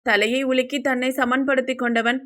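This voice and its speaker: A woman's voice: high-pitched, little pitch movement, normal speed, fairly bright tone. A woman reading a scripted audio novel as a dramatic storyteller.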